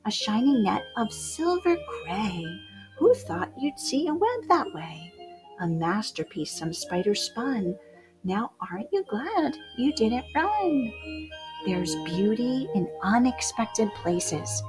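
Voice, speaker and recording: A woman with a high, very lively voice reads a rhyming picture book for children. Her delivery is theatrical and unhurried, dropping to hushed whispers and rising to bold exclamations. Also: music in the background, low-quality recording. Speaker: children's storybook reader. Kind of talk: animated read-aloud of rhyming picture book poems.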